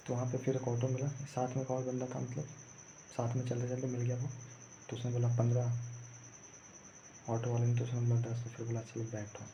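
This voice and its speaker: A man's voice: mid-range voice, completely flat delivery, brisk, long pauses. A young man telling a story casually, in a rambling, repetitive way. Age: young adult. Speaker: vlogger recounting own exam day. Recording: muffled lo-fi audio, faint background noise.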